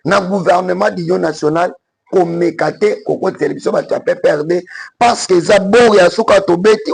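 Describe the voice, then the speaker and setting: A man's voice: high voice, gravelly, husky. A Congolese man with a Congolese French accent, giving emphatic political commentary in French and Lingala. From Congo (DRC).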